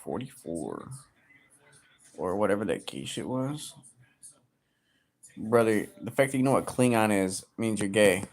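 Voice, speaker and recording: Thirty-something guy streaming, cussing and joking with chat, yells now and then. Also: lowish voice, lively intonation, unhurried, long pauses. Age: 30s. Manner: profane, joking, loud outbursts. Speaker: livestreamer reading chat. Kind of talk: casual crude livestream chat.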